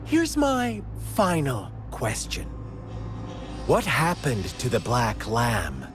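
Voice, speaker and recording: Theatrical game villain, taunting, slow and drawn out, wildly swooping pitch, music behind. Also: male voice, wildly swooping pitch. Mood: mocking. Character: mocking male villain telling a dark parable. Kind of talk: game cutscene voice acting. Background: game score music.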